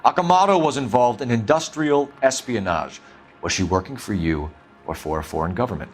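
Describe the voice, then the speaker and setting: A man's voice: mid-range voice, brisk, faint music behind, natural voice. A man playing a stern, insistent police detective with a formal manner in a 1940s video game drama. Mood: stern, probing.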